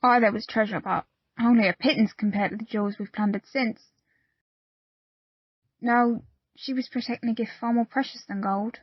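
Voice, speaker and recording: A loyal pirate woman with a fairly high voice, recorded close and dry. She speaks plainly and earnestly in salty old seafaring phrases, as in an audio drama. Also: fairly even intonation, normal speed, long pauses, breathy edge, no echo, muffled recording. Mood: earnest, sorrowful. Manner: earnest storytelling in archaic pirate dialect. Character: loyal, plain-spoken pirate crewwoman confiding a grave secret. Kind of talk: audio play acting.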